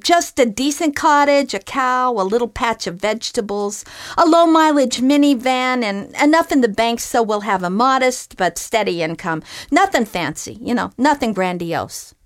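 A lazy, greedy fisherman whines and complains about his lot, as a woman reading the story puts him on. His voice is pitched up and pushed loud. The recording is dry. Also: expressive, moderate pace, no echo, natural voice. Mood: wheedling. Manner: complaining, entitled. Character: lazy, greedy poor male fisherman, whiny.

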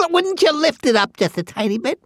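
A storyteller voicing a tiny, wheedling chipmunk trickster who pleads and whimpers in a squeaky way. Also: creaky, bright, forceful tone, dry recording, full character voice.

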